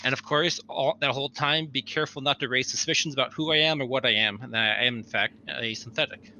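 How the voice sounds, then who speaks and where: A man's voice: medium pitch, fast talker, sharp tone. A man recapping his tabletop roleplaying character in a casual debrief, as one of the players. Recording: faint background noise.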